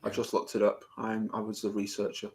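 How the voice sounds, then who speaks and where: A man's voice: fairly low pitch, monotone, brisk, gravelly, a little mellow, slight background noise. A man with a Manchester accent, bantering casually and joking at his own expense on a live stream. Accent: Mancunian English. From Manchester, England. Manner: jokey, self-mocking banter. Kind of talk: casual chat.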